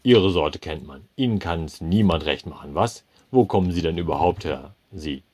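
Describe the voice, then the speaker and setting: A man playing a burly, cigar-chewing cafeteria manager who talks in a loud, pompous, bullying bluster. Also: extremely low pitch, normal speed.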